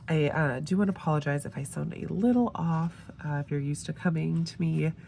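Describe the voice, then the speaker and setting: Slow, gravelly low-voiced woman with a cold. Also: a little hiss or hum. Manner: relaxed, gushing, with fillers and soft trailing asides. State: getting over a head cold, a bit nasal. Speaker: planner sticker reviewer. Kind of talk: casual show-and-tell narration.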